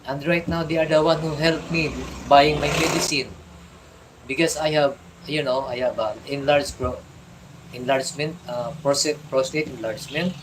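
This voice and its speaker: A middle-aged man from the Philippines with a Filipino accent, chatting on a livestream and giving fatherly advice in a halting way, repeating and restarting his words. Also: on the high side, fairly even intonation, background noise. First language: Tagalog. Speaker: vlogger. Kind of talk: casual livestream chat.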